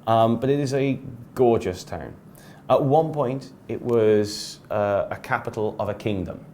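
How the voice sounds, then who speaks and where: A man with an English accent who teaches English, talking casually to his students with chatty asides and quick self-corrections. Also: mid-range voice, mellow, slight background noise. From England. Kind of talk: casual spoken lesson to students.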